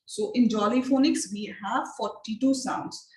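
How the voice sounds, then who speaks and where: A woman with an Indian accent leading an online phonics training for teachers, speaking deliberately and repeating her questions to the trainees. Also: a little high, mellow, echoey room. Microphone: video call.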